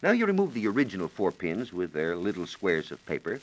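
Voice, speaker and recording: Man, low voice, highly varied intonation, precise formal explanations, 1940s radio science reporter, vintage broadcast recording. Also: huge pitch swings, fairly quick, low-quality recording. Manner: precise, methodical, formal phrasing.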